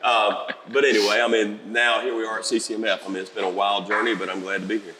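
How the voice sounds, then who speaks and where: A middle-aged man from South Carolina, a country musician with a raspy voice, chatting easily and humbly in a festival interview. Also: fairly low pitch, little pitch movement, quick pace, big-room sound, noisy background.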